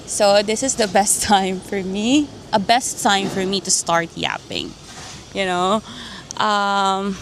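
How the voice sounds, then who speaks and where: A woman's voice: medium pitch, lively intonation, slow pace, a little hiss or hum. A woman from the Philippines with a Filipino accent, chatting in an informal, rambling, slangy way as a vlogger. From Philippines (Manila). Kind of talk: casual chatty personal vlog. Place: quiet empty cafe. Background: music.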